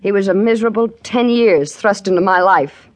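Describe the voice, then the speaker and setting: Woman as a genteel, cutting divorcée, bitter toward her ex, medium pitch, 1950s radio style. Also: moderate pace, close to an everyday voice. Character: bitter, cool divorced mother, middle-aged. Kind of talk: 1950s radio drama acting.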